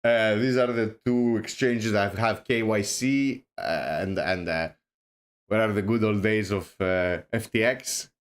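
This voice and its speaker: A man's voice: lowish voice, steady tone, unhurried. A man with a Greek accent hosting a casual crypto livestream, rambling with many fillers and restarts, joking now and then. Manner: joking, self-deprecating. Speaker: host.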